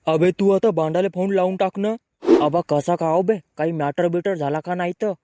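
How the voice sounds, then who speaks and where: Man playing a high-voiced brash village lad. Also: steady tone, normal speed, dark tone, no room sound, studio quality, natural voice. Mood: grumbling banter. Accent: rural Marathi. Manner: bickering, slangy. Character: brash, grumbling young village men in a comic cartoon. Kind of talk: comic cartoon dialogue.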